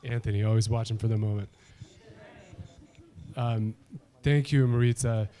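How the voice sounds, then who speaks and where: A man hosting an awards event, introducing honorees with self-deprecating asides and halting restarts. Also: on the low side, steady tone, mellow. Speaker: event host. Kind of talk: emcee remarks.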